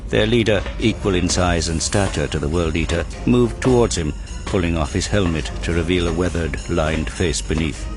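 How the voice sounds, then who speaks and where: Low-voiced man narrating war fiction. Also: moderate pace. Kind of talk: scripted dramatic audiobook narration. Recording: music behind the voice.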